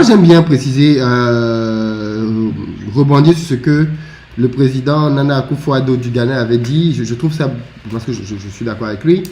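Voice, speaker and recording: A man's voice: medium pitch, a little mellow. A man co-hosting a discussion, agreeable and reflective, with a light laugh now and then. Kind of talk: conversational discussion.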